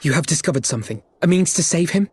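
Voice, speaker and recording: A man voicing an earnest, noble young gentleman in a game cutscene, speaking formally with archaic phrasing. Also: on the high side, animated, fast talker, breathy edge, no echo. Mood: worried. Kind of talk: video game cutscene acting.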